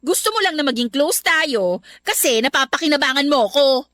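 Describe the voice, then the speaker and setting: A woman's voice: high-pitched, animated, moderate pace, fairly bright tone, dry recording. A young woman voicing a shy, naive heroine in a radio love story, earnest and easily flustered. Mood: indignant. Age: young adult. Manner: earnest, flustered, then indignant. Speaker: heroine Abby. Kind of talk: radio drama acting.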